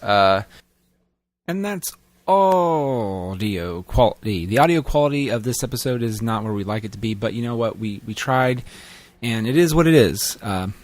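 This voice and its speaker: A man hosting a podcast, making informal, halting announcements in an apologetic way. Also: on the low side. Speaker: podcast host. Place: live show at a comic shop, before an audience. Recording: roomy, distant mic, faint noise.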